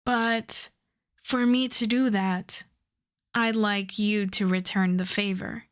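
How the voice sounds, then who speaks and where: A woman playing a suave, self-assured female supervillain in a roleplay audio drama, flirting and teasing in an unhurried way. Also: medium pitch, steady tone, natural voice. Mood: earnest, flirtatious. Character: suave flirtatious female supervillain courting her costume maker.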